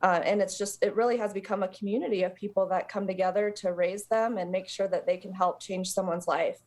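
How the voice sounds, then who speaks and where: A woman's voice: medium pitch, steady tone, brisk, a little mellow. An American woman speaking as a guest in a live television interview, explaining volunteer work in a conversational way for a charity. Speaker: interview guest, service dog charity representative.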